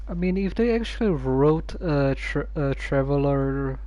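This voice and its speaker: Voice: medium pitch, animated, mellow, no echo, highs cut off. A streamer giving casual, hesitant commentary on a game story, stopping and restarting often. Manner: halting, hesitant, many fillers and restarts.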